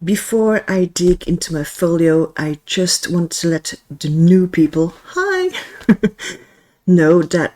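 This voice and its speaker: A woman's voice: on the low side, animated, slow, a little mellow. A woman from Belgium, speaking English as a second language, chatting casually at home as she shows her stationery and sometimes searches for words. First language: not English. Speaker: stationery vlogger. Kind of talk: casual show-and-tell. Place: home, with cat.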